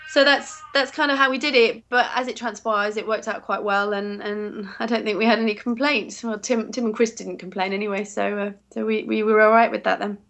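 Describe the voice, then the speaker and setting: A woman's voice: medium pitch, fairly even intonation, fairly quick, few pauses, a bit bright. An English woman, a voice actress, chatting casually in an interview and rambling through fillers and restarts. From England.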